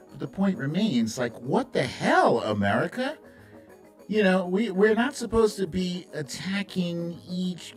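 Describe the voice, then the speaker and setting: A man hosting a live stream, giving casual, opinionated political commentary in a blunt, conversational way. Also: medium pitch.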